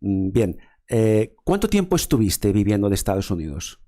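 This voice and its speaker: A man's voice: on the low side, sing-song, moderate pace, dark tone. A man from hosting a formal interview, speaking in a wordy way with frequent fillers and repeated words. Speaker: interviewer, show host.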